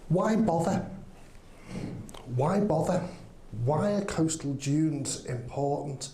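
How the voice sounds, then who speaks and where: A man's voice: a little high, animated, slow pace, mellow, reverberant. A man with a Liverpool accent giving an enthusiastic conference talk, with many ums. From England. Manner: enthusiastic, filler-heavy, says um often. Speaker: conference speaker. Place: conference hall.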